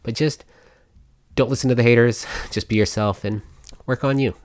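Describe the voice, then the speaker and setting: A woman's voice: rumbling low, fairly even intonation, moderate pace, darkish tone. A woman hosting a podcast, chatting casually, laughing often and trailing off mid-sentence. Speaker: podcast host.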